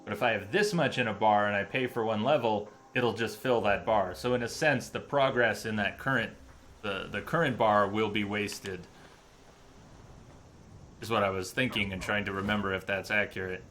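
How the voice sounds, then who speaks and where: Bright-voiced man giving casual game commentary. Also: fairly low pitch, moderate pace, a little hiss or hum. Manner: thinking aloud, deliberating. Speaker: game streamer. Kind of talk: casual live playthrough commentary. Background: game music.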